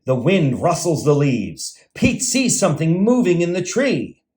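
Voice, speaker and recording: Male children's storyteller, hugely expressive intonation, measured and slow reading. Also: a little high. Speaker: children's entertainer and read-aloud host. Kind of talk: animated storybook narration for children.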